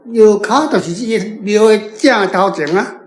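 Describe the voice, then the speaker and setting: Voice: medium pitch, normal speed, a crowd in the background. A guest author with a Taiwanese accent, casually explaining and answering questions in a group discussion. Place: echoey room, group discussion. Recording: echoey room.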